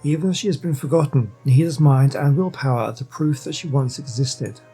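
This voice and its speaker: A man's voice: on the high side, fairly quick, mellow, slightly rough. A man commentating on a video game as he plays, thinking aloud and reading dialogue choices out. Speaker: game streamer. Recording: game music behind the voice.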